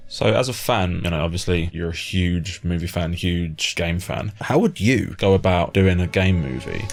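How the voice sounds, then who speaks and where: A man's voice: deep voice, animated, normal speed, creaky, faint music behind. A British man in his thirties hosting a casual interview in an informal, conversational way. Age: 30s. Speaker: interviewer, host. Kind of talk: casual chat.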